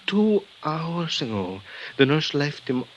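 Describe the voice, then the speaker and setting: A grave Mexican doctor. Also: male voice, on the high side, big pitch swings, rough recording. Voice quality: measured, hesitant, halting. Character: middle-aged male Mexican hospital doctor, grave and formal. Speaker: actor in radio drama. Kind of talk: 1950s radio drama acting. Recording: old radio broadcast with faint hiss.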